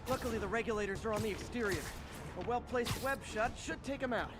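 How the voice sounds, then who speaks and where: An actor playing a young male superhero who talks in quick, self-assured quips, heard as game audio with music behind. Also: very high-pitched. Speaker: game character. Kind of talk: video game voice acting.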